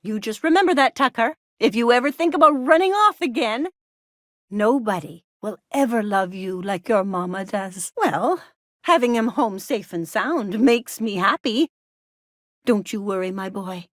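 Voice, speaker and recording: A woman's voice: a little high, animated, a little slow, no room sound, studio quality. An actress playing a doting, anxious middle-aged frontier mother in a video game, with a melodramatic, folksy manner. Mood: fond, clingy. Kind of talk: video game character dialogue.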